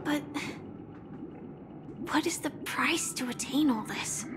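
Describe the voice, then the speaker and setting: Young game heroine: gentle but resolute, unhurried, earnest. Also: female voice, high-pitched, music in the background. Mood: doubtful, challenging. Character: gentle, resolute young woman debating a philosophy. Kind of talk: video game cutscene acting.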